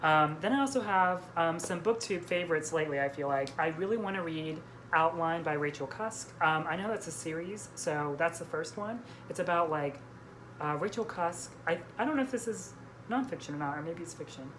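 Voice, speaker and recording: Low-pitched woman chatting casually about books. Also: a little fast, a bit bright, a little hiss or hum. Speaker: book vlog host. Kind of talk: casual book chat.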